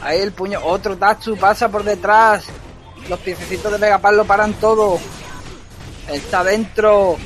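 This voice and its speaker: A man doing fast, excitable play-by-play commentary on a fighting game, joking and shouting at big moments. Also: high voice, faint music behind. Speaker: esports commentator.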